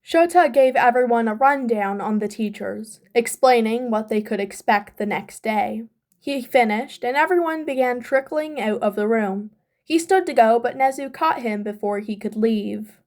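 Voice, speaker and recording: A woman's voice: a little high, moderate pace, a little mellow. A woman reading scripted fan fiction aloud as an amateur audiobook narrator.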